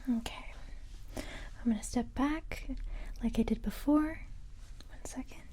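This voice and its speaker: A woman doing a soft-spoken, whispered ASMR roleplay as a calm, encouraging police recruiter, gentle and hesitant, close to the microphone. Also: fairly high pitch. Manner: gentle, hesitant, unhurried reassurance.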